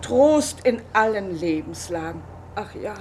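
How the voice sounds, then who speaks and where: An actress playing a genteel, sentimental high-society lady in a German radio comedy, effusively polite and given to sighing. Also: lively intonation, unhurried, darkish tone, slight background noise, lightly acted. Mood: gushing, wistful.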